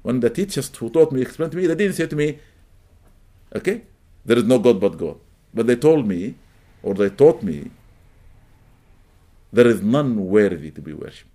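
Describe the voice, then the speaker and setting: Brief: middle-aged Arabic-speaking man, animated religious lecture, mid-pitched voice with emphatic peaks. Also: moderate pace, pauses often. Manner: animated, rising to emphatic peaks, welcomes latecomers mid-talk. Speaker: religious teacher. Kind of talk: lecture to a live audience.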